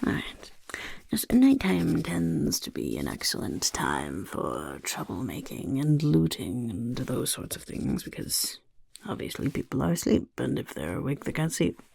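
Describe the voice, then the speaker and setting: A woman voicing a scheming male goblin who interviews recruits for a chaos horde, in a whispery soft-spoken ASMR roleplay, talking of himself in the third person. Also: extremely low pitch, wildly swooping pitch, a little slow, dry recording, exaggerated voice. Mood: curious. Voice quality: gravelly.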